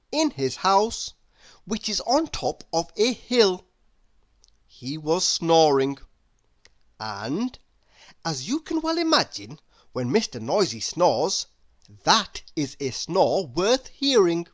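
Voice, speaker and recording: A storybook narrator reading a children's tale aloud in an animated, expressive way. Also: mid-range voice. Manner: animated, expressive, with emphatic sound words. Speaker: storybook reader.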